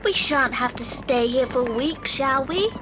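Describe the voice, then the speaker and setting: A timid little British girl frets in a high voice. It is a children's adventure drama with a noisy background. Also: animated, unhurried. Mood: anxious. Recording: noisy old cassette recording.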